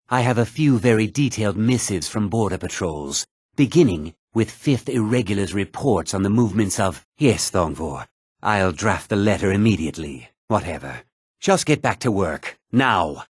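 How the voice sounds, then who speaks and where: An actor playing a haughty, put-upon fantasy townsman in a video game, husky, theatrical and often sarcastic. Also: fairly low pitch, a little mellow, dry recording, studio quality. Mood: formal, deferential. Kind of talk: video game character dialogue.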